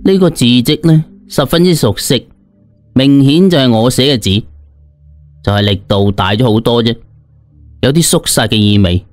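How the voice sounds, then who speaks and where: A male storyteller with a mid-to-low voice reads a colloquial tale in the first person. He is expressive and slangy, acting out the drama, with music playing behind him. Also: normal speed, pauses often, no room sound. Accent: Cantonese. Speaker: audiobook storyteller. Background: background music.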